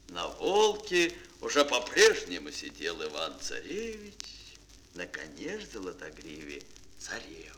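A man narrating a children's fairy tale as a storyteller in an expressive, theatrical, dramatic manner. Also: medium pitch, slight background noise, low-quality recording.

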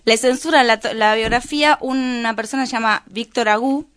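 A woman's voice: a little high, brisk, fairly bright tone. Argentine woman telling a gossipy story. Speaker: radio show columnist. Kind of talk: casual chat, storytelling.

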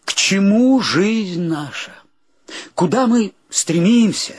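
A penniless, philosophizing drifter in a radio play. The voice is somewhat high and lively, with a husky grain and a close, dry sound. He is wry and plaintive, forever hesitating theatrically. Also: takes it slowly, dry recording, full character voice. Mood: wry, world-weary. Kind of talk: Russian radio play acting.

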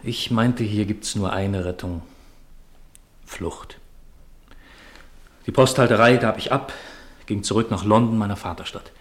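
An actor playing a troubled, guilt-burdened widower in a 1950s radio drama, speaking in a grave, formal, confessional way. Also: male voice, fairly low pitch, measured pace, pauses often, natural voice. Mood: burdened, confessing. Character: troubled, guilt-burdened widower confiding his forbidden love.

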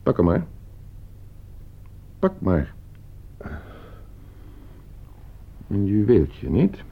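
Gravelly, suave, deep-voiced schemer. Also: male voice, huge pitch swings, slow, full character voice. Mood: calm, commanding. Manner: suave, calculating, condescending. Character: coldly scheming, broke gentleman heir plotting his uncle's murder. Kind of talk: Dutch radio play acting. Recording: muffled old radio play recording.

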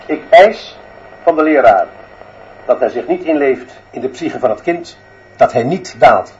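A man's voice: medium pitch, animated, measured pace, darkish tone, dull audio, a little hiss or hum, natural voice. A man playing a dictatorial headmaster who declaims his demands for iron discipline in a radio drama. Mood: severe, declaiming. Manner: declamatory, emphatic repeated demands. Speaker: actor in a radio play. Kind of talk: Dutch radio drama monologue.

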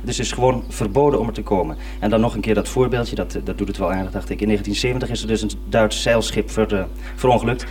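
A man talks quickly as a radio programme maker, explaining things in an informal way. The old recording has faint noise and puts him a little way from the microphone. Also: on the low side, steady tone, darkish tone. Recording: distant, slightly noisy old radio recording.

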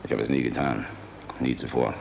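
A world-weary military flier in a German radio drama, with a low voice full of gravel. He speaks with wry intimacy and tenderness, like someone hunted. There is some hiss on the old recording. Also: fairly even intonation, brisk, exaggerated voice. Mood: grave, confiding. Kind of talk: German radio play acting.

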